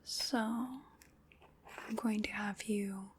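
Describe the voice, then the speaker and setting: A woman playing a playful, fussy vampire in a whispered ASMR roleplay, musing softly and coaxing with a mildly bossy touch. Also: medium pitch, fairly even intonation, extremely slow, noisy background. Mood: coaxing, polite. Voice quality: soft breathy whisper. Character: playful, fussy female vampire seasoning her human snack.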